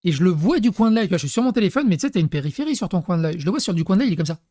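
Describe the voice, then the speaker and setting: A man's voice: high-pitched, sing-song, rapid-fire, mellow, no room sound, clean studio sound. Rambling man telling anecdotes. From Paris, France. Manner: rambling, lots of fillers and restarts, laughs at own stories. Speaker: YouTuber. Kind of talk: casual storytelling to camera.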